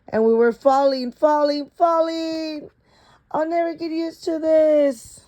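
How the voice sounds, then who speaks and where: Excitable, grumbling schoolchildren on a field trip , put on by a woman reading a storybook. The voice is pitched up and full of exclamations and complaint. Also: slow pace, lo-fi sound. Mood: alarmed.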